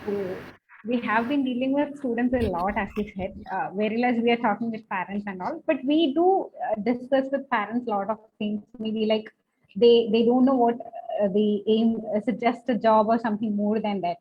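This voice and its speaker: Woman teacher chatting on a panel, fairly high voice, muffled lo-fi audio. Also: normal speed. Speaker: panelist, college technology teacher. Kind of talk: conversational explanation on a panel. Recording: dull lo-fi sound, faint noise.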